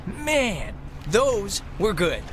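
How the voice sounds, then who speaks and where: A man's voice: high voice, huge pitch swings, very slow, fairly bright tone, slight background noise, heavily acted. An American actor playing a goofy, irreverent teenage boy who rambles and jokes in naturalistic video game dialogue. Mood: flippant, chatty. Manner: rambling, jokey, flippant.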